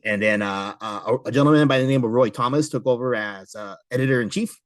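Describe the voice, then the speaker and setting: A man's voice: medium pitch, fast, no room sound, studio quality. A man hosting a podcast, chatting casually and explaining things in a conversational way. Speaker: podcast host. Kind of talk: casual chat about comic books.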